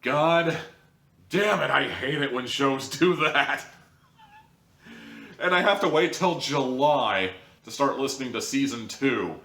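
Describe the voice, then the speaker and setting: Bright, emphatic man reviewing in a roomy space. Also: mid-range voice, expressive, slow pace, long pauses. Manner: emphatic, conversational, wry asides. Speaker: audio drama reviewer, show host. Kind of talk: casual, opinionated video review. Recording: roomy, distant microphone.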